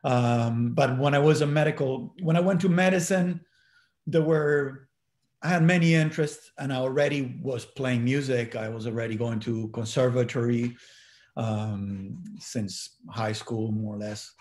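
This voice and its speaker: A middle-aged Argentine-accented guest on a webinar, native in Spanish, recounting his life haltingly at a medium pitch. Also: fairly even intonation, a little mellow. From Buenos Aires, Argentina. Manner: halting, searching for words. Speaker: interview guest. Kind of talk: reflective personal recollection.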